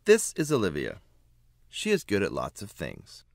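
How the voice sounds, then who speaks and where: A man reading a children's picture book aloud with expressive, emphatic phrasing. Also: sits low, moderate pace, vocal fry, dry recording, clean studio sound. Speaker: children's book reader, narrator. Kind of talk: read-aloud storybook narration.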